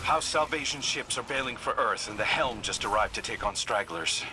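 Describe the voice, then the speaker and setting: An actor playing a resolute, principled commander giving earnest mission briefings in a video game. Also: male voice, medium pitch, animated, normal speed, noisy background, natural voice. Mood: focused, measured.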